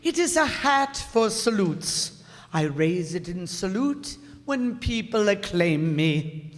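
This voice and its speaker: A man's voice: high-pitched, sing-song, full character voice. A man playing a conceited, vain man who craves admiration, pompous and preening, in a radio play. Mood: self-important. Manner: pompous, preening, drawn-out delivery. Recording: echoey hall, long reverb.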